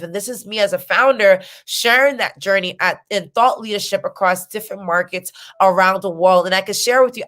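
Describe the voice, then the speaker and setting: A woman's voice: medium pitch, fairly bright tone. A woman in her thirties with an American accent, presenting online as a startup founder in an earnest, emphatic, motivational way. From United States. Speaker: startup founder and workshop speaker. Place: small indoor room on a video call.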